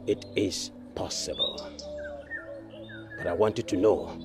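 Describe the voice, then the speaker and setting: An actor playing a solemn male spirit who guides the dead, speaking slowly and gravely. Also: fairly low pitch, wildly swooping pitch, full character voice. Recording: music under the voice.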